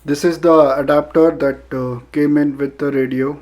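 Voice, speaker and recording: A man with an Indian accent narrating a casual unboxing review, speaking haltingly with frequent filler pauses. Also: on the high side, little pitch movement, darkish tone. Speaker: product reviewer.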